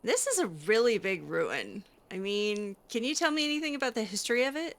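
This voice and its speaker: A woman with a bright voice gives casual in-character game commentary. Her pitch moves around a lot as she drops sarcastic, skeptical asides while roleplaying. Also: big pitch swings, a little fast. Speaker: video game player roleplaying as a mage character.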